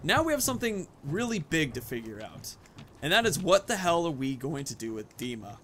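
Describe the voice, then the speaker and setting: A man's voice: fairly high pitch, very animated, brisk, fairly bright tone, slight background noise. A man hosting a gaming video, giving casual commentary and thinking aloud about his moves and choices. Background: video game music.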